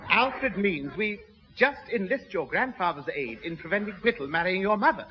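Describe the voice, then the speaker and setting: Pompous, high-pitched, swooping English gentleman. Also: animated, normal speed, a little hiss or hum. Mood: stern. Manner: pompous, formal, schoolmasterly. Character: pompous, fussy, indignant male neighbour. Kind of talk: 1960s radio sitcom acting. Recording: muffled, reverberant old radio recording.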